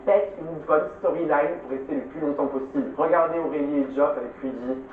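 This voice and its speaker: A man's voice: on the high side, a little fast, a little hiss or hum. Direct man giving advice, very echoey. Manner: direct, instructive. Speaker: reality TV contestant giving advice. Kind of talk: advice to camera. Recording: very echoey, distant, phone-like.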